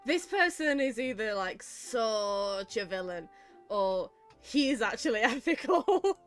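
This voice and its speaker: Woman, higher pitch, casual gameplay commentary, dry sarcasm, giggly delivery, close mic, soft game music behind. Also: sing-song, takes it slowly. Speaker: gaming video host, psychologist.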